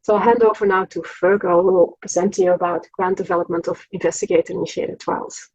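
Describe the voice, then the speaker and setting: A woman statistician giving a measured, earnest presentation with frequent hesitations. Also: on the low side, fairly even intonation, darkish tone, dry recording, muffled recording. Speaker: collaborative statistician. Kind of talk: conference presentation.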